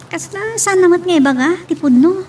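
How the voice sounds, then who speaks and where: A woman's voice: very high-pitched, expressive, a little fast, a little mellow. An actress playing an earnest, hopeful schoolgirl, a dutiful and chatty daughter in a serial drama. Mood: puzzled, confiding. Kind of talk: radio-style serial drama acting. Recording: distant mic with faint noise.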